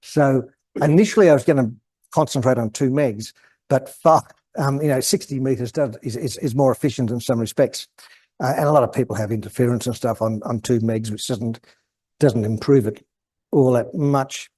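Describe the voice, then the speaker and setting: An Australian man giving an informal technical presentation, hesitant and halting as he thinks aloud. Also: mid-range voice, dark tone, breathy edge. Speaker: guest presenter at an amateur radio club.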